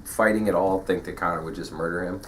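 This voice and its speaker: Low-voiced laid-back man co-hosting. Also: fairly even intonation, a bit bright. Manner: laid-back, quick to agree, acts out fighters' lines. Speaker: podcast co-host. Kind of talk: casual podcast chat. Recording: voice a bit far from the mic, faint hiss.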